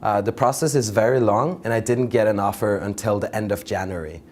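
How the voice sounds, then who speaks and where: A man's voice: lowish voice, a little fast, a little mellow, a little hiss or hum. A young man from Norway in his twenties, a master's student earnestly giving advice in a testimonial interview.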